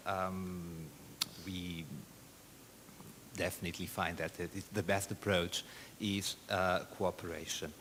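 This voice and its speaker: A man's voice: low-pitched, slight background noise. A man, a delegate asking a question at a conference, hesitant with many fillers and repeats. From Portugal.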